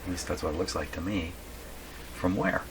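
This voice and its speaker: A man giving casual hands-on repair narration, halting and thinking aloud to himself as he works. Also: low-pitched, steady tone. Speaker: host of a radio repair channel. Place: indoors at a home workshop. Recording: clearly noisy room recording.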